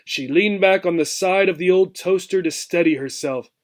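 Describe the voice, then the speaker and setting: High-voiced man reading a children's book aloud. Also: normal speed. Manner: explanatory, checks in with "right". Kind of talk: expressive children's book narration.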